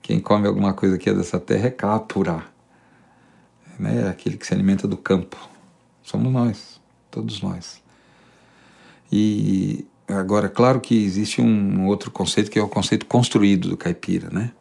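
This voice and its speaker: Gravelly man musing. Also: on the low side, steady tone, moderate pace, a little mellow. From interior of São Paulo state, Brazil. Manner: thoughtful, many restarts and repeated words. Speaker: singer-songwriter, interviewee. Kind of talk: reflective conversational monologue.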